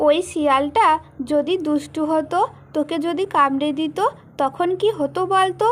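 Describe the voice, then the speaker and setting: A woman's voice: high voice, normal speed, fairly bright tone. A woman voicing a worried, scolding mother in a cartoon fairy tale. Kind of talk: animated cartoon dialogue.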